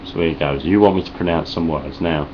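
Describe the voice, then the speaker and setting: Deep-voiced sweary Cockney Essex man. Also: brisk, dull audio, a little hiss or hum. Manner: deadpan, sarcastic, rambling, heavy swearing. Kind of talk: sweary deadpan vlog response.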